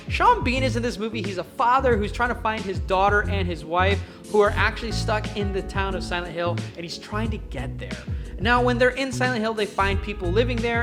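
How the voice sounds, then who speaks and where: Casting: chatty, upbeat film-review host with mid-range pitch, emphatic casual delivery, music bed clearly audible underneath. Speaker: YouTube host reviewing films. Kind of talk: casual enthusiastic video commentary, countdown list. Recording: music playing behind the voice. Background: background music.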